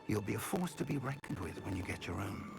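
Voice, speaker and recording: A man's voice: fairly low pitch, animated, fast, vocal fry, over music. An actor voicing a kindly older male professor in a video game, genteel, encouraging and wordy. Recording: game audio with ambient noise behind.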